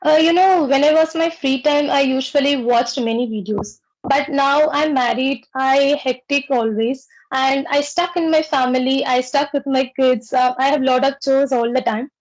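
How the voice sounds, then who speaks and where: A woman from Pondicherry, speaking simple, halting with fillers as a guest learner. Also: high-pitched, fairly even intonation, poor audio. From Pondicherry, India. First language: Tamil. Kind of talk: casual conversation.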